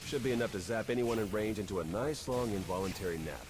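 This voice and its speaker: A man's voice: lowish voice, fast, very noisy, natural voice. A man playing a pragmatic, weary secret agent in a video game, with a dry, casual delivery. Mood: wry, focused. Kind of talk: naturalistic video game acting.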